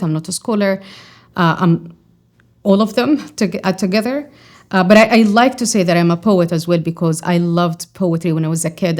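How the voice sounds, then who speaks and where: A woman's voice: fairly low pitch, a little mellow. Thoughtful woman with an Arabic accent. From Yemen. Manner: thoughtful, with frequent fillers and restarts. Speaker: poet and scholar. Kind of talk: reflective interview answers.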